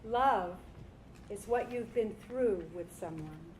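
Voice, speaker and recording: A woman's voice: medium pitch, slow, darkish tone, low-quality recording. A woman performing a staged reading and speaking to a live audience as director and performer. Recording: live stage recording with background noise.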